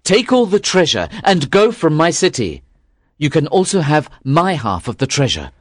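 A man's voice: a little high, sing-song, a little slow, dry recording, clean studio sound, voice pushed up. A man voicing a proud but frightened male emperor who pleads in a raised, strained voice. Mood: anxious.

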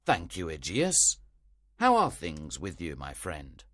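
A man's voice: low voice, wildly swooping pitch, slow pace, fairly bright tone, no room sound, studio quality, full character voice. A man voicing a stern, commanding duke in a storybook reading. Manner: authoritative, stern.

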